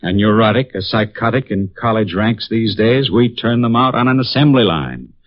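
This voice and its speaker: An actor playing a brooding, cynical young psychology professor in a 1950s radio drama, speaking in a somber way. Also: male voice, on the low side, normal speed, dry recording, close to an everyday voice. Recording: dull lo-fi 1950s radio broadcast.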